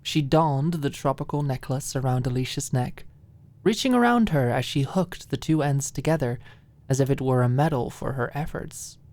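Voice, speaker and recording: A woman narrating a scripted audiobook in ASMR style, with soft phrases that drop into a hush. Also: rumbling low, moderate pace.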